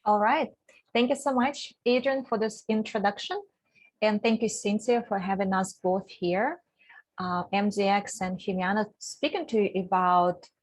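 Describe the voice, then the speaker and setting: Woman, Russian accent, sales presenter, explanatory and friendly, mid pitch. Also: darkish tone, rough recording. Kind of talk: webinar presentation.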